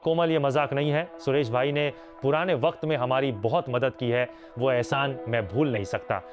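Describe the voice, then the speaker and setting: A man's voice: medium pitch, normal speed, soft background music, lightly acted. A man playing a firm, earnest middle-aged father in a cartoon moral story, serious and paternal.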